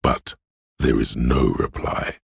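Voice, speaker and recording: A man playing a detective's ominous, dreamy intuition in a video game, speaking in a hushed, portentous, theatrical way with dramatic pauses and a gravelly, husky voice. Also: a bass voice, level tone, dry recording, full character voice. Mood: brooding, mysterious. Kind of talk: video game voice acting, second-person inner monologue.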